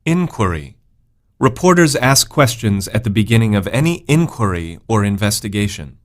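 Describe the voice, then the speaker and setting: A man's voice: on the low side, big pitch swings, normal speed. A man giving scripted educational narration, reading out vocabulary words and example sentences.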